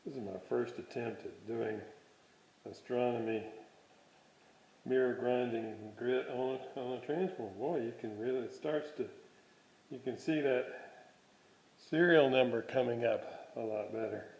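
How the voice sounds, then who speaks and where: A man giving a casual hands-on workshop demonstration, halting and thinking aloud as he works. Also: medium pitch, gravelly, a little mellow. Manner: musing aloud, halting, thinking while working. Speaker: hobbyist presenter of a restoration video series. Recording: dull, roomy home workshop recording.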